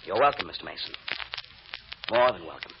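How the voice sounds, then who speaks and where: Insinuating American news vendor, politely pushy, swooping delivery; muffled, noisy old radio. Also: male voice, low voice, animated, brisk, gravelly. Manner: hesitant, pointed hints between street-seller calls. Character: sly, insinuating male newspaper vendor, politely insistent. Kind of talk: mid-century American radio drama acting.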